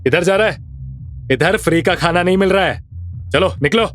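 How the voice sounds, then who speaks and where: Audio drama bouncer, male narrator acting: raised pitch, rude, sneering, scornful, music underneath. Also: expressive, moderate pace, a bit bright. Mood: angry, dismissive. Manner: gruff, sneering dismissal in street Hindi. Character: rude mocking nightclub security guard, angry, scornful bully.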